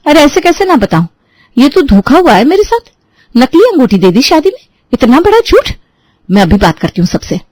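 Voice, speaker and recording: A woman's voice: on the high side, animated, normal speed, no echo. A woman voicing a bold young bride who talks in an indignant, slyly teasing way. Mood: outraged. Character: young new bride, bold and sharp-tongued.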